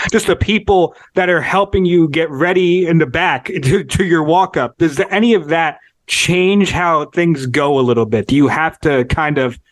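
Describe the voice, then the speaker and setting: A man's voice: fairly high pitch, dry recording. A man hosting a podcast, asking long, curious, rambling questions in a casual interview. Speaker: podcast host.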